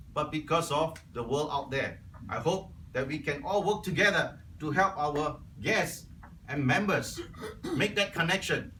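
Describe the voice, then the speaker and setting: Cantonese-native guy giving an earnest club speech, kinda high pitched, mic far off, roomy echo. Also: fairly bright tone, a little hiss or hum. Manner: earnest, clears throat often. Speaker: incoming club membership officer. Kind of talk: prepared speech to a club meeting. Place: club meeting room. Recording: echoey room, from far off.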